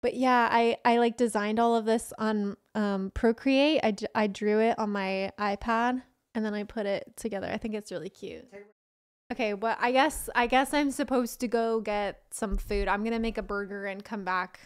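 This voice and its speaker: A hoarse young woman streamer, chatting casually and rambling to her viewers at home. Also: fairly high pitch, moderate pace. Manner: chatty, rambling, talks to chat. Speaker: game streamer. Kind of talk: casual live-stream chat.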